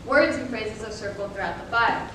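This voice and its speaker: A young woman giving dramatic scripted narration on stage with deliberate delivery. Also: a bit bright. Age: young adult. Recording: echoey room, distant mic, noisy rumble.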